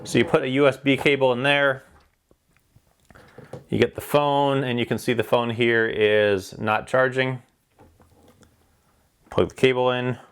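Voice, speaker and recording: A man reviews a product in a casual, hands-on style. He speaks in an informal, plain-spoken way, explaining features step by step at a middling pitch. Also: level tone, a little slow, pauses often, clear, resonant tone.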